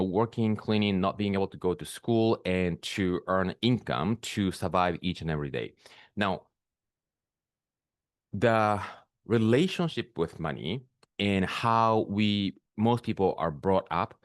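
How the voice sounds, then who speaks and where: A man from Peru, a spiritual business coach talking slowly and reflectively to camera, pausing often with fillers. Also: sits low, no echo, clean studio sound. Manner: slow, reflective, with frequent pauses and fillers. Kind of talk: solo talk to camera.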